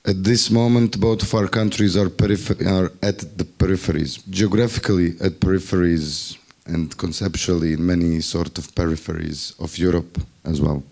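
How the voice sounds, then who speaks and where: A man's voice: low voice, steady tone, moderate pace, vocal fry, few pauses, a little mellow. A man with a Bosnian accent sharing wry, ironic remarks in a group discussion, sometimes searching for words. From Bosnia and Herzegovina. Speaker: festival workshop participant.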